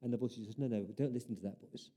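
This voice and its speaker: Man, pastor, sermon, very dark mellow tone, earnest, repeated rhetorical questions. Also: mid-range voice, fast, breathy edge.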